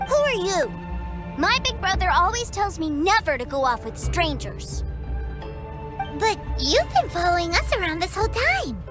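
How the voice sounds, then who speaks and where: An eager little boy played by a woman speaks in a very high, lively voice, excited and trusting. His voice jumps around in pitch like a child's. A loud game score sits under him. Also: normal speed, over music. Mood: childlike. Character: young boy, eager and trusting, adores his big brother. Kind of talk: video game cutscene voice acting.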